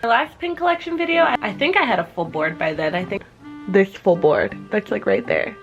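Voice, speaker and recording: Casual vlogger: American woman in her twenties, bubbly and conversational, average pitch, speaking over background music. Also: lively intonation, fairly quick, gravelly, highs cut off. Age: 20s. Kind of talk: casual chatty Q&A to camera. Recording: music playing behind the voice.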